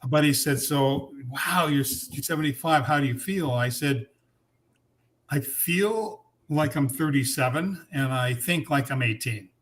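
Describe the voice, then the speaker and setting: A man's voice: medium pitch, a little slow. Seventy-something man telling his story. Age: 70s. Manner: reflective storytelling with fillers. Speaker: guest. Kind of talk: casual chat.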